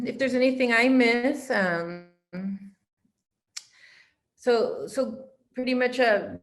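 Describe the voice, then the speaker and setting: A woman giving an informal interpreted summary of a speaker's remarks, searching for words with restarts and fillers. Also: mid-range voice.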